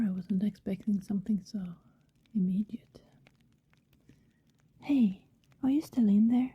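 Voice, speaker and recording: Breathy, husky young-sounding vampire played by a woman. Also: mid-range voice, dull audio. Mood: hushed, coaxing. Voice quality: breathy, often dropping to a whisper. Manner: gentle, hesitant, coaxing. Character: coy, affectionate female vampire posing as friendly neighbor. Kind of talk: intimate ASMR roleplay, soft and conversational.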